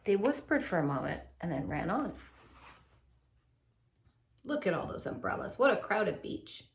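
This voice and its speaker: A woman reading a children's picture book aloud in an animated, expressive way, adding little asides for young listeners. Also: on the low side, a little slow, a little hiss or hum.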